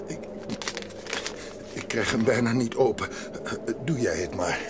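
A man playing a trembling, heartsick old mountain grandfather in an audio drama, speaking haltingly. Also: mid-range voice, background noise, lightly acted. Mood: hesitant, anxious. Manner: slow, halting.

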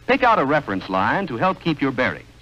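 A man reading scripted 1940s training film narration in a measured, instructional, clearly enunciated manner. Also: medium pitch, huge pitch swings, bright, forceful tone. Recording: dull, band-limited vintage film soundtrack.

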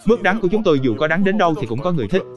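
Cartoon bitter melon, male actor: cocky, quarrelsome, on the higher side, over prominent music. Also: big pitch swings, rapid-fire. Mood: boastful, combative. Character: boastful, quarrelsome talking bitter melon, proud of itself. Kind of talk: cartoon dub.

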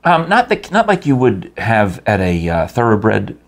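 A man's voice: lowish voice, huge pitch swings, unhurried. A man in his fifties hosting a casual studio chat, joking around and reading sponsor details. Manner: jokey, rambling, playful. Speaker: host. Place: small studio set at a table.

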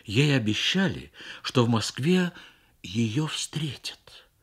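A man reads a literary short story for radio in measured, expressive phrases with frequent pauses. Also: mid-range voice, husky. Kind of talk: literary narration, Soviet radio story reading.

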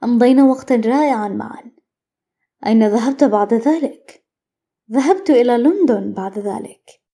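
A woman reading translations of lesson sentences in a brisk, clipped manner. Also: a little high, expressive, warm tone. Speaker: Arabic translation narrator. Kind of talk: scripted translation narration.

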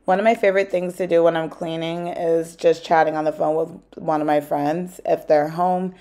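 A woman's voice: deep voice, flat delivery, moderate pace, dark tone, soft background music. A woman in her thirties with an American accent, chatting casually and encouragingly to her viewers in a home cleaning vlog. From South Jersey, USA. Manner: chatty, encouraging, with many "like" fillers. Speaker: vlogger, stay-at-home mom. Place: parked car, then home.

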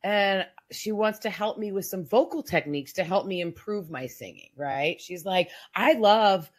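A woman with a very low voice telling her personal story in a podcast conversation, reflective and halting with many restarts. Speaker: guest sharing her story.

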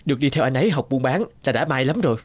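A man voicing a trusting, eager peasant husband in an animated folk tale. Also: medium pitch, racing, no echo, close to an everyday voice.

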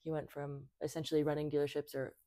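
A woman's voice: low voice, little pitch movement, fast, warm tone, dry recording, studio quality. A woman in her twenties, born in Thailand, chatting as a podcast guest, with many fillers and restarts. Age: 20s. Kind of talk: casual conversation.

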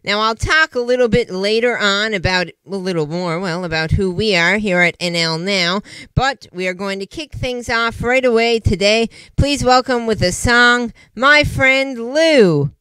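A man's voice: high voice, measured pace, bright, forceful tone, clean tone, no echo, poor audio. A man voicing a cheerful, polite male puppet talk show host for children, chatty and folksy as he presents like an eager showman. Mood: eager, welcoming.

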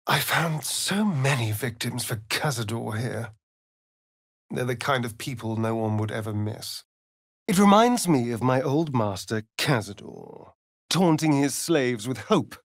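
Game role: vain, sardonic vampire rogue. British, arch, slow drawl, highly varied intonation. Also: male voice, expressive, no echo, clean studio sound. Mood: bitter. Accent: British English. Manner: theatrical, arch, drawling with dramatic emphasis. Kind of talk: video game character voice acting.

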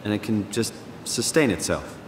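Actor as a male everyman hero, sci-fi horror game, inquisitive, informal, lively intonation, echoey with faint rumble. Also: moderate pace, close to an everyday voice. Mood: curious. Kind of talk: video game voice acting.

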